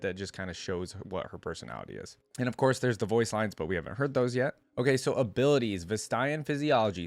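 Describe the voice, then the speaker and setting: Low-voiced man giving casual reaction commentary. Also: dry recording. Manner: informal, musing, thinking aloud. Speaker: reaction video host.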